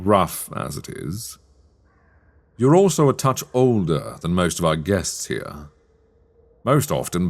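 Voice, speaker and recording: Man playing a deep, sly sorcerer schoolmaster. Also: full character voice. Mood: brooding, curious. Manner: theatrical, measured, wry, formal storybook phrasing. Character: sly, teasing magic academy master, mature man, dark fantasy. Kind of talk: intimate ASMR fantasy roleplay.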